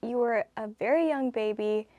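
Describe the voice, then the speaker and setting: A woman's voice: fairly high pitch, normal speed, dark tone, no echo, dull audio. A woman hosting a podcast, asking questions in a friendly casual way. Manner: friendly, asks questions with fillers like "kind of". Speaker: podcast host. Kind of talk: casual interview chat.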